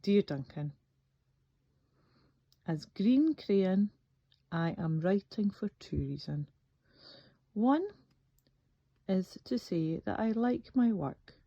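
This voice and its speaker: A woman reading a children's picture book aloud as a bedtime story, with deliberate, theatrical phrasing. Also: medium pitch, lively intonation. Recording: dull, echoey room recording.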